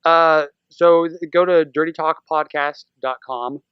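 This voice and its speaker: A man's voice: fairly high pitch, fairly even intonation, measured pace, clear, resonant tone, no room sound, muffled recording. A man speaking casually as a podcast guest, telling stories in a rambling, jokey way. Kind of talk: casual conversational interview.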